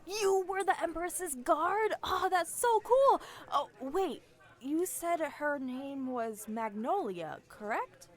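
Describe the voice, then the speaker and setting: A woman's voice: very high-pitched, animated, slow, heavily acted. A woman playing a sassy, eager young female assassin in a fantasy audio drama, chatty and snarky. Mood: curious, excited. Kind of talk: amateur fantasy audio roleplay acting.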